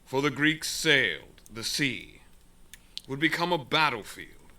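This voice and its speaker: A man's voice: mid-range voice, animated, measured pace, pushed and bright. A man narrating an epic audio drama in a solemn, portentous, scripted storytelling style. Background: music.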